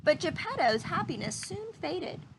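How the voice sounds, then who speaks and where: A woman's voice: extremely high pitch, big pitch swings, a little slow, noisy background. A woman reading a children's story aloud expressively, with little asides to her listeners.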